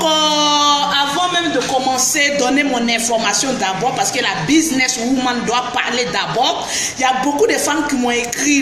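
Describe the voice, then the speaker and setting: Ivorian woman vlogger with the flu, high voice, loud shouts and greetings, echoey room, noisy background. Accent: Ivorian French. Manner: greets viewers by name, animated, bursts into shouts. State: has the flu. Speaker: beauty vlogger live-streaming. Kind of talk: casual live stream chat with viewers.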